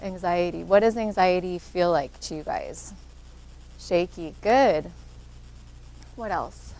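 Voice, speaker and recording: A woman with a soft voice, a social worker giving an interactive workshop talk and encouraging answers from the audience. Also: lowish voice, slow pace, poor audio.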